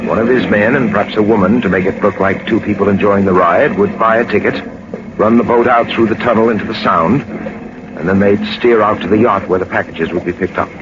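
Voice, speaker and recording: An actor playing a suave, commanding gentleman detective in a 1940s radio drama, speaking with assured authority. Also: fairly low pitch, steady tone, a little fast, natural voice. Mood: calm, explanatory. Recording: noisy, dull 1940s radio recording.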